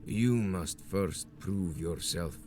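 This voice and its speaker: A man's voice: low voice, takes it slowly, darkish tone, a little hiss or hum. An actor playing a menacing, ancient male vampire sect leader in a video game, speaking in grandiose, solemn, archaic oratory. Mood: stern. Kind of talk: dramatic video game villain acting.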